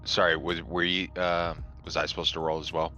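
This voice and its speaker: Really deep voiced guy playing tabletop, bright forceful edge, casual. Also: level tone, fairly quick, faint music behind. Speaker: tabletop game player. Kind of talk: casual game chat.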